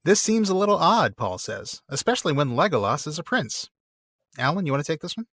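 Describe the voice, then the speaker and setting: A man's voice: fairly high pitch, very animated, brisk, fairly bright tone, no echo, clean studio sound. A man co-hosting a podcast, reading listener mail and explaining word origins with enthusiasm, laughing often. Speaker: podcast co-host.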